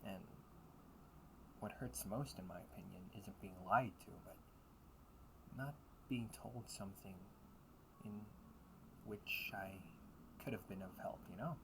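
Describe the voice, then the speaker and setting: A man playing a teasing but protective older brother in an intimate audio roleplay, talking casually and earnestly with halting pauses. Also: lowish voice, creaky, noisy background. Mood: serious, gentle. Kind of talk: intimate audio roleplay monologue addressed to listener.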